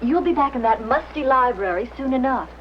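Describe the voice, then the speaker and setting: An actress playing a woman friend who soothes and gently teases her companion. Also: sits high, animated, a little fast, a little hiss or hum. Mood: teasing. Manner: soothing, teasing. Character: teasing, motherly woman friend. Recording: dull old film sound.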